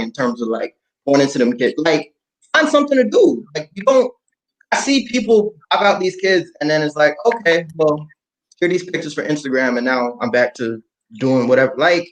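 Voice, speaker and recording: A man's voice: a little high, rough recording. A man talking casually in a group podcast, blunt and slangy with laid-back joking. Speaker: podcast panelist.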